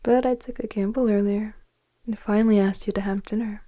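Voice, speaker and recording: A woman playing a gentle, flirtatious woman in her forties in an intimate ASMR romance roleplay, speaking softly and unhurriedly in a breathy near-whisper. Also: medium pitch, fairly even intonation, lightly acted. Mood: tender, sincere. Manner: soft, tender, hesitant, unhurried flirting.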